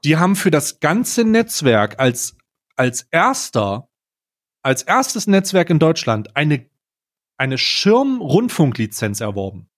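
A man's voice: fairly high pitch, sing-song, slow pace. A man talking casually as a podcast host, emphatic and fond of posing rhetorical questions.

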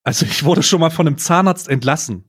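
A man's voice: fairly high pitch, quick pace, slightly rough. A man telling anecdotes casually as a podcast co-host, blunt and slangy, dropping in bits of English.

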